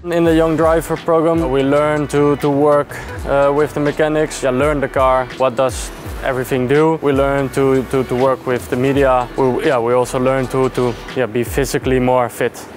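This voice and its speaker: Teen male driver from the Netherlands, flat pitch, halting, loud music bed. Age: teens. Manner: halting, repeats words. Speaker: racing driver.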